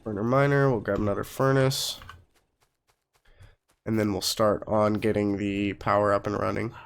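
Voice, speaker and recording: A man's voice: on the low side, flat delivery, normal speed, creaky, a little hiss or hum. A man getting over a cold, giving casual let's play commentary as host of a gaming channel, explaining things in an informal, rambling way.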